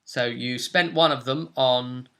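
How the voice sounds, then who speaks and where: Bright-voiced man recapping a tabletop game. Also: medium pitch, steady tone, a little slow. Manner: conversational, explanatory, acting out characters' lines. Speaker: game master. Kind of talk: recapping a tabletop role-play session.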